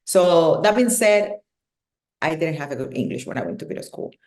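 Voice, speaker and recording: A woman's voice: low-pitched, animated, normal speed, a little mellow, reverberant. A woman from Cuba with a Cuban Spanish accent, speaking candidly as a podcast guest and often laughing. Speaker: guest, hairstylist and educator. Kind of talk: casual podcast interview.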